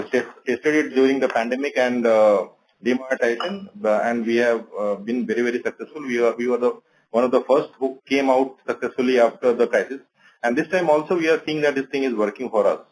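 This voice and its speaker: A man's voice: mid-range voice, rough recording. Indian-accented male bank executive, methodical. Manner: explanatory, methodical, point by point. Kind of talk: answering questions on an earnings conference call.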